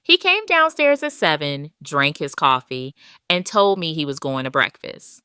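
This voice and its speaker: A woman reads a scripted, dramatic first-person story. Her voice is low and very smooth, with measured, deliberate delivery. The recording is close and dry. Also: big pitch swings, bright, forceful tone, no echo. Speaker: audio story narrator.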